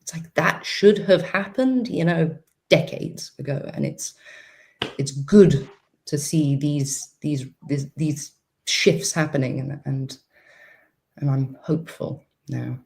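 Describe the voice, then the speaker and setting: Blunt woman with a gravelly edge. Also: low-pitched, slow, darkish tone. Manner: emphatic, blunt, quotes herself. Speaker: panel guest. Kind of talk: opinionated panel discussion.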